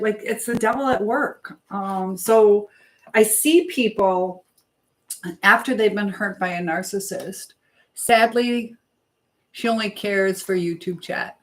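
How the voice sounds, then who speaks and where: A woman hosting a live chat, talking casually to viewers in a rambling, halting way. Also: mid-range voice, slight background noise. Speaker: livestream host.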